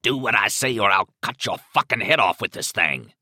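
A man's voice: lowish voice, sing-song, measured pace, sharp tone, no room sound, studio quality, exaggerated voice. A man voicing a menacing male killer who taunts and commands in coaxing, sadistic slang. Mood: barking, furious.

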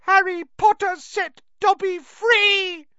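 Tiny devoted house-elf, squeaky high, shrill, emphatic storybook creature. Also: male voice, level tone, takes it slowly, sharp tone, no room sound, muffled recording, full character voice. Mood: elated. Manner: speaks of self in third person, emphatic. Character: small male house-elf servant, fervent and devoted.

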